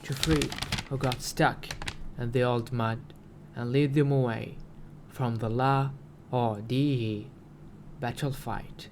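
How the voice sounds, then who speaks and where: Man voicing a slow, grandiose alien prophet. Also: medium pitch, steady tone, slight background noise. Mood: solemn, grandiose. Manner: grandiose, archaic, halting proclamations. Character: solemn male alien prophet preaching archaic doom.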